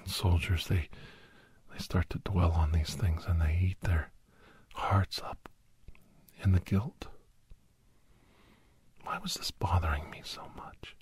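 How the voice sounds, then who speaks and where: A man acts out a world-weary older doctor who has carried weapons too long. His voice is low, husky and mellow, often sinking into a whisper. He confesses slowly and haltingly, brooding and guilt-ridden, in an intimate ASMR audio drama. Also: steady tone, full character voice. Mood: troubled, guilty. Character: weary, guilt-ridden older male doctor, armed veteran monster hunter. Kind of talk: whispered ASMR first-person audio story.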